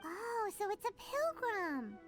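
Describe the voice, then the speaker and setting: A woman voicing a tiny excitable fairy companion in a video game, chatty and teasing. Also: very high-pitched, takes it slowly, soft background music, exaggerated voice. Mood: chirpy. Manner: chirpy, teasing and dramatic.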